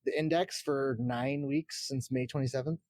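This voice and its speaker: A man's voice: medium pitch, fairly even intonation, moderate pace, a little mellow, dry recording. Casual man in a dry, close recording. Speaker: podcast panelist. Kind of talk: casual gaming chat.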